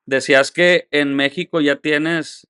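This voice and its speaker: A man's voice: fairly high pitch, steady tone, takes it slowly, sharp tone, clean tone, no echo, clean studio sound. A man hosting a podcast, friendly, laughing often and prompting his guest with questions. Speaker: podcast host. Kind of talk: casual interview chat.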